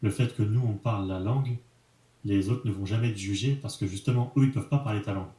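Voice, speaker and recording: A man's voice: on the low side, quick pace, dark tone, roomy sound. A man, an entrepreneur speaking as the guest in a casual interview, frank and colloquial with many fillers.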